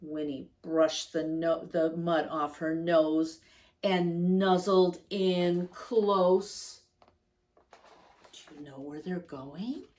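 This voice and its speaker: A woman storyteller reading a picture book expressively to young children, stretching words for emphasis and pausing to ask them questions. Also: lowish voice, steady tone, a little mellow.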